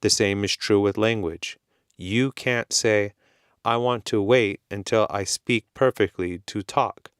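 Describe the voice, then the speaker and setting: A man with a Californian accent talks to English learners at a very slow, careful pace, with plain words and repeated points. His voice sits a little low and sounds smooth and clear. It is recorded close and dry, with no room sound. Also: dry recording. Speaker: English teacher. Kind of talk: slow, simple explanation for learners.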